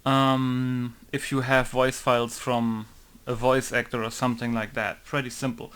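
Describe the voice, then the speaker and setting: A man's voice: mid-range voice, flat delivery, unhurried, a bit bright, smooth. Young man rambling through a modding tutorial. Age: young adult. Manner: rambling, many fillers and self-corrections. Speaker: game modder. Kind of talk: casual tutorial commentary.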